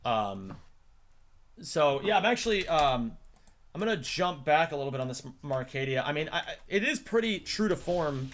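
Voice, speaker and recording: A man's voice: fairly high pitch, very animated, moderate pace, fairly bright tone, slight background noise. A man hosting a casual chat about a gaming video, talking with many ums and restarts and plainly admiring his guest's work. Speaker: host.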